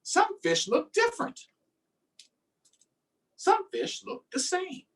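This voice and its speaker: A man's voice: very high-pitched, wildly swooping pitch, takes it slowly, recorded from a distance. A man hosting a storytime, reading aloud and chatting simply and encouragingly with young children. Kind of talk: read-aloud and casual chat to young children.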